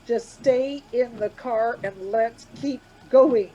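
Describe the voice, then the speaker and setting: A woman's voice: on the high side, takes it slowly, lo-fi sound, background noise. A woman playing a frightened, pleading wife in a radio play about travellers stranded on a snowy pass. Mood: anxious, pleading. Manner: pleading, urgent.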